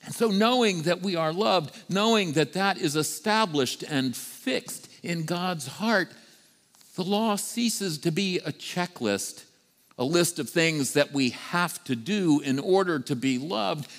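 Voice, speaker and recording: A middle-aged man preaching a sermon as a priest, with deliberate phrasing and emphatic repetition. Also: fairly high pitch, lively intonation, fairly bright tone. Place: church sanctuary, standing before the altar. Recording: reverberant church hall.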